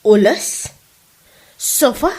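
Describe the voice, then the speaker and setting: A woman's voice: very slow, mellow, rough recording. A woman acting the part of a fed-up, sarcastic young wife who nags, in a comedy drama. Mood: irritated.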